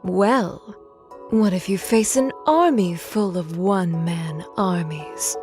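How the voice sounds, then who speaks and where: An actress plays a composed, mysterious goddess with a slightly low voice. She speaks formally and with measured self-assurance, music clearly playing beneath her in a fantasy audio drama. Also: a little mellow. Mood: probing. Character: composed, mysterious eastern goddess, calmly commanding. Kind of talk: audio drama character acting. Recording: music under the voice.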